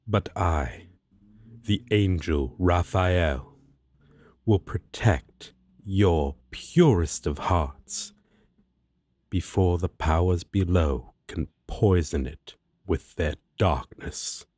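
A man's voice: low voice, drawn-out words, a little mellow, dry recording, heavily acted. A man playing a righteous, protective angel warrior in a fantasy audio roleplay, speaking in formal, grandiose, archaic phrasing. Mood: solemn, reassuring. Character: solemn righteous male angel warrior, protective and self-important.

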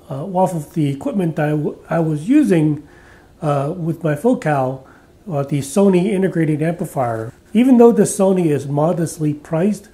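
A man in his forties giving a relaxed, chatty speaker review in his untreated living room, filmed at chest height, pausing often with fillers. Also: a little high. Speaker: audio reviewer. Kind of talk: casual product review.

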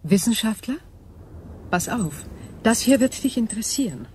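A woman playing an ancient crystalline alien in a radio drama, cool and composed, with measured, detached, formal diction. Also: a little high, lively intonation, darkish tone, slight background noise, lightly acted. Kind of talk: German radio drama acting.

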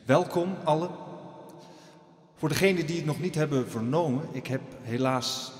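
An actor playing an earnest village pastor in a radio drama, preaching solemnly and haltingly to his congregation. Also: fairly high pitch, natural voice. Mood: solemn welcome, then grave, halting. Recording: echoey church hall reverb.

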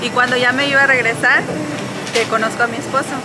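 A woman's voice: a little high, fast, very noisy. Chatty Mexican woman in her forties. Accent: northern Mexican. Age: 40s. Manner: chatty, relaxed, storytelling. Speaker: interviewee. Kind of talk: casual street interview. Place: large supermarket indoors.

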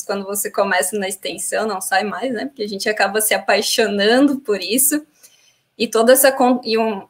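A woman's voice: mid-range voice, a bit bright. A young woman with an ordinary mid-range voice hosts the end of an online panel. She speaks fluently into a laptop webcam, thanking guests and viewers in order. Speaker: event moderator. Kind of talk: closing thanks as moderator on a video call.

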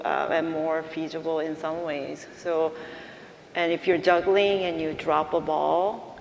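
A woman with a slightly low voice gives informal, unscripted answers on a panel. She sounds very echoey, with faint noise in the background.

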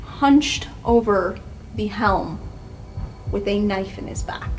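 A woman's voice: medium pitch, slow, music in the background, low-quality recording. A woman running a tabletop roleplaying game as game master, narrating scenes on the fly and addressing players.

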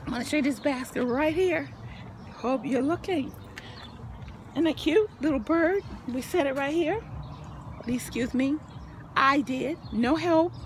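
An older woman with a high voice that she says is wearing out speaks in a lively, churchy, encouraging way. She films herself outdoors in her backyard on a handheld phone, with a faint hiss behind her. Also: unhurried, long pauses. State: losing her voice. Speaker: vlogger. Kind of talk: casual home video tour.

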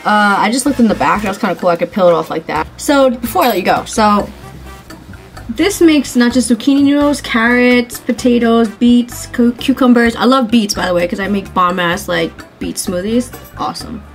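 A woman's voice: a little high, normal speed, soft background music. A young woman with a stuffy, congested voice from a cold, talking casually through a cooking tutorial in her home kitchen, with slang and fillers. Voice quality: chatty, laid-back, sprinkles in slang and fillers. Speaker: cooking vlogger.

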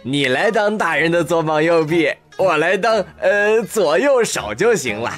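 An actor playing a goofy, eager junior patrol officer in a comedy radio drama, breezy and folksy with small stammers. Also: male voice, a little high, sing-song, a little slow, music in the background. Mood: placating.

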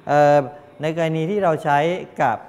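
An older man giving a classroom lecture as a teacher, explaining in a halting way with frequent polite particles. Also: darkish tone. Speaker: technical college lecturer. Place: medium-sized indoor classroom with students. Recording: reverberant room, clear background noise, low rumble.

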